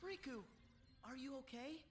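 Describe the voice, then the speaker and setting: A man's voice: very high voice, animated, moderate pace, noisy background. A man voicing an earnest, impulsive, loyal teenage boy hero in a game. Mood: concerned. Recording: loud music behind the voice.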